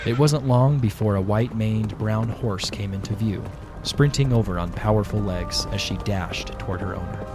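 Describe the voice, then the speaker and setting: A man narrating a scripted fantasy audio drama in a measured storytelling manner. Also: fairly low pitch. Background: background music.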